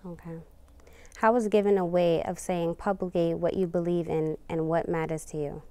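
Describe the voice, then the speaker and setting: Female interviewer, slightly low and warm, measured questions. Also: flat delivery.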